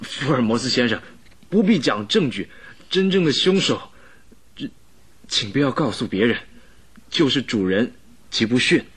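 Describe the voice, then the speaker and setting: An actor playing a timid middle-aged butler in a radio drama, hesitant and stammering with an apologetic manner. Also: male voice, medium pitch, lively intonation, normal speed, pauses often, a bit bright, husky. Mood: fearful, hesitant.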